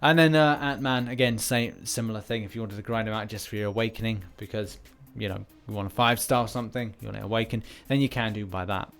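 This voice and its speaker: A man's voice: on the low side, fairly even intonation, quick pace, fairly bright tone. A man hosting casual gaming news commentary in a conversational, slightly rambling manner. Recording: voice-over with music behind.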